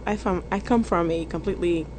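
A woman's voice: on the low side, brisk. A young woman from Haiti with a Haitian accent, a student talking thoughtfully in a group discussion. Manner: thoughtful, with restarts. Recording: background noise.

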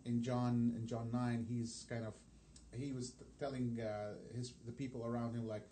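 A man's voice: mid-range voice, level tone, moderate pace, warm tone, a little hiss or hum. A man who is a native Arabic speaker, a missions pastor talking as an interview guest, hesitant with many fillers and restarts.

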